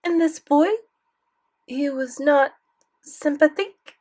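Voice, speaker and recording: Woman playing elderly grandmother, thick French accent, high-pitched, lively, fond, commanding, audiobook character. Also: unhurried. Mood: puzzled, probing. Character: old French grandmother, doting yet commanding.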